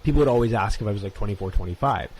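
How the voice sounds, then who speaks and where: A man in his twenties, co-hosting a casual podcast chat, rambling and animated, full of fillers and restarts. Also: fairly low pitch, very fast, slight background noise. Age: 20s. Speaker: podcast co-host. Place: New York.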